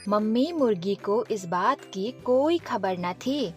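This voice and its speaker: A woman's voice: medium pitch, animated, takes it slowly, soft background music. A woman narrating a scripted children's story as its storyteller.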